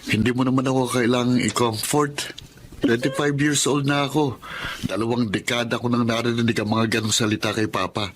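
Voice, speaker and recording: A man's voice: medium pitch, fairly even intonation, normal speed, a little hiss or hum, close to an everyday voice. An actor playing a meek, self-doubting Filipino man of twenty-five in a radio drama, speaking hesitantly and apologetically. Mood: resigned. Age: young adult. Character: meek, self-doubting 25-year-old son.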